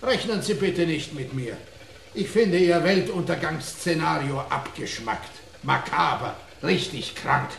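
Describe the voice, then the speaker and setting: A prim, well-mannered pharaoh in a radio play, with a slightly high, creaky voice. He is fussy and squeamish, protesting politely in an echoing room. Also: unhurried, fairly bright tone, a little hiss or hum. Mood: indignant. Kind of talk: German radio drama acting.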